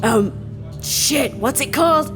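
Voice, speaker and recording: A blunt, playful character in a comic audio drama. Her voice is high, and she speaks slowly and haltingly, tossing out brash banter with music behind her. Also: lively intonation. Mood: playful, searching. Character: brash, foul-mouthed, playful woman groping for words.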